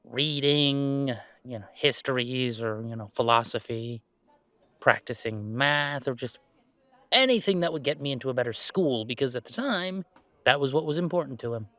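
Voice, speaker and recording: A man playing a laid-back, self-deprecating young gamer in a roleplay audio drama, chatting in a halting, playfully exasperated way. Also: mid-range voice, sharp tone, no echo, close to an everyday voice. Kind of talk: roleplay audio drama, casual one-sided conversation.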